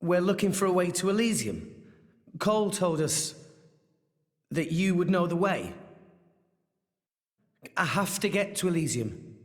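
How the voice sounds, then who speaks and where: A man's voice: sits high, sing-song, a little slow, pauses often. An actor playing an earnest, eager teenage boy adventurer in a video game, with a polite but informal manner. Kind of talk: video game cutscene acting. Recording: long hall reverb.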